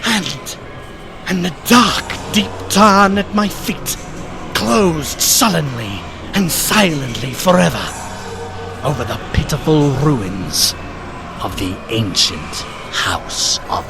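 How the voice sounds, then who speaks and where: An actor playing a horrified gentleman narrator in a gothic radio play, telling the tale with dramatic dread. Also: on the high side, animated, slow pace, creaky, over music, heavily acted. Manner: dramatic, dread-filled storytelling.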